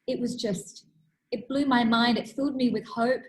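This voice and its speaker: A woman's voice: on the high side, fairly even intonation, normal speed. A woman giving a keynote talk, telling stories in an earnest, emphatic way. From Australia. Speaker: keynote speaker.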